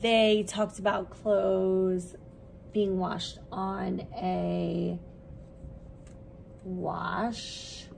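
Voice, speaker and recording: A woman preschool teacher reading a children's book aloud expressively, with simple, deliberate questions for young listeners. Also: medium pitch, level tone, gravelly, darkish tone, slight background noise, poor audio.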